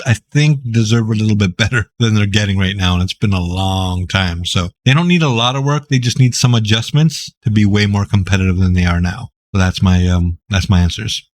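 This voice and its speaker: Laid-back raspy man from Greece. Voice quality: deep, raspy. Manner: laid-back, joking, rambling. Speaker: gaming YouTuber. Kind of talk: casual Q&A reading viewer questions.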